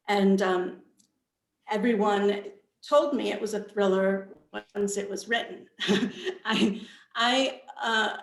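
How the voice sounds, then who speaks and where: Woman, author on a panel, unhurried pace, echoey video call, thoughtful and halting. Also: medium pitch. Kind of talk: panel interview answers about own book.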